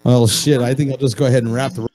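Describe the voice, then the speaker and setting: A husky-voiced man in casual chat, joking crudely and swearing freely. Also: mid-range voice, fairly even intonation, quick pace, darkish tone.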